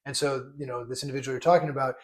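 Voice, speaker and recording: A man's voice: mid-range voice, fairly even intonation, very fast, crowd noise. A man co-hosting a casual chat, earnestly clarifying points and often restarting his sentences. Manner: earnest, stresses being clear, restarts often.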